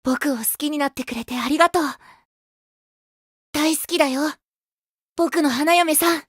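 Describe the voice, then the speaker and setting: Casting: sunny boyish game idol, extremely high youthful voice, sweet, innocent, short simple phrases, dry studio-like sound. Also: male voice, normal speed, fairly bright tone, dry recording. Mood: tender, loving. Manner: childlike, simple clipped phrases. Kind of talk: Japanese mobile game voice acting.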